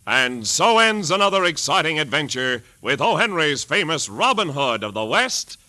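A man's voice: a little high, very animated, normal speed, no room sound. A man announcing the close of a vintage radio show in a dramatic, declaiming way. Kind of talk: formal radio sign-off. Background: loud organ music.

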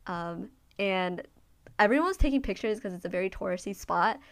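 A woman's voice: mid-range voice, lively intonation, brisk. Young woman, casual chat, reflective and hesitant storytelling. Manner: reflective, hesitant, many fillers.

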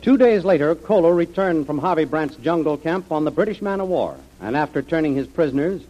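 A man's voice: fairly high pitch, animated, a little fast, mellow, muffled recording. A man narrating as the announcer of an old-time radio adventure serial.

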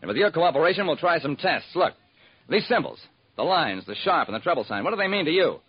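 A man's voice: fairly high pitch, sing-song, fast, breathy edge. An actor playing a wry, hard-boiled private detective, narrating his case in clipped old-time radio style. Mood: probing. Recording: muffled vintage radio recording.

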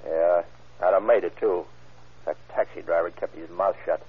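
Tough, sly male hold-up man, slangy and curt, very low husky voice, vintage radio crime drama. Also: fairly even intonation, moderate pace. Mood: unrepentant, offhand. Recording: tinny, muffled old radio recording.

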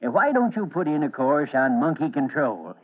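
An actor playing a teasing, folksy old zoo monkey expert in a vintage radio drama. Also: male voice, fairly high pitch, very animated, moderate pace. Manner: folksy, chuckling, needling. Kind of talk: old-time American radio acting. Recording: narrow, tinny vintage radio recording.

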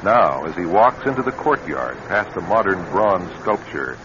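An actor narrating a suspense radio drama in a measured, deliberate and dramatic way. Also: male voice, low voice, very animated, noisy background. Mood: measured, ominous. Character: measured male storyteller of a suspense play. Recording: muffled old radio recording, music underneath.

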